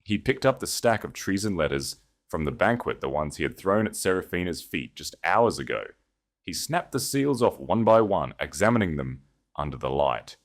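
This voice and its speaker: Deep-voiced man narrating a dramatic story. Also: very animated, moderate pace.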